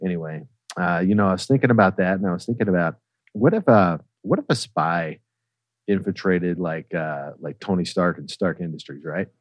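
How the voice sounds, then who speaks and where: A man telling rambling, profane stories casually on a comedy podcast, quick to laugh. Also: low-pitched, no room sound, highs cut off. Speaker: guest storyteller.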